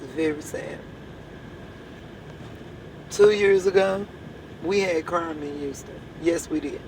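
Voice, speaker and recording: A hoarse woman with a drawl leads her church in an impassioned address. She hammers at the same rhetorical questions again and again, pressing each point home. A faint background hum sits under her voice. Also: on the low side, slow pace, darkish tone, poor audio. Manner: emphatic, repeats rhetorical questions. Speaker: church leader.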